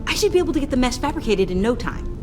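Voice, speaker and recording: Animated, earnest young tech ally. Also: female voice, high-pitched, rapid-fire, music in the background. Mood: eager. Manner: earnest, direct, conversational. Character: caring, eager young woman, tech-savvy ally. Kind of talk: video game voice acting.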